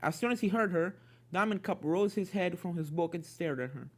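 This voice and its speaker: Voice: medium pitch, expressive, fairly quick. A narrator reading a scripted fan fiction story aloud.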